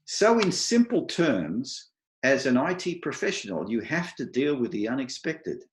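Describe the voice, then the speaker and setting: A middle-aged Australian man giving an advice talk to an online meetup, speaking slowly and clearly with dry humour. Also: medium pitch, sing-song, roomy sound, slight background noise. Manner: slow, deliberate, clear delivery for non-native listeners.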